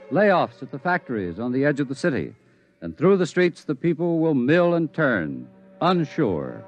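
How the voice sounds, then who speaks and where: A man narrating a 1950s radio drama in a measured, ominous way. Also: mid-range voice. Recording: dull lo-fi broadcast with music.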